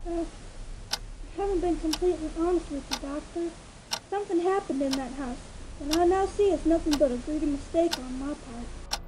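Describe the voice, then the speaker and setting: A woman's voice: high-pitched, normal speed, natural voice. A woman voicing a frightened young filly, hesitant and childlike, in a dramatic fan fiction reading. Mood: guilty, scared. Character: frightened young filly confessing dark secrets. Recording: noisy home recording.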